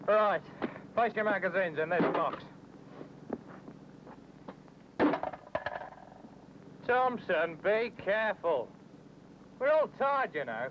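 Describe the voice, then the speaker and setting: A weary army sergeant in a 1970s training film. His fairly high voice comes through loud background noise on a dull, muffled soundtrack. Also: sing-song, measured pace. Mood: commanding. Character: weary military sergeant giving orders and testimony.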